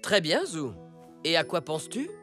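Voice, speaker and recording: Father zebra for preschool animation: reassuring, kindly, lively intonation, over background music. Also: a little high, moderate pace. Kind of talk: children's cartoon dubbing. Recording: music under the dialogue.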